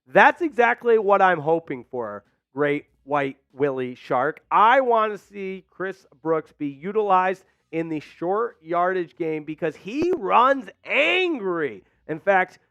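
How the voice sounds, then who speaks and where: Energetic man hosting sports talk. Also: on the high side, clean tone, no room sound, dull audio. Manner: energetic, emphatic. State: losing his voice. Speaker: sports show host. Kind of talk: live sports talk show. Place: small studio, indoors. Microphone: headset mic.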